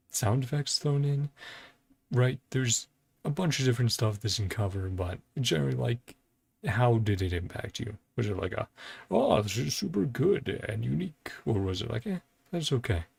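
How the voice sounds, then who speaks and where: Gravelly, husky man giving chatty reaction commentary. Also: mid-range voice, expressive, normal speed. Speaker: host of a video reaction and ranking series.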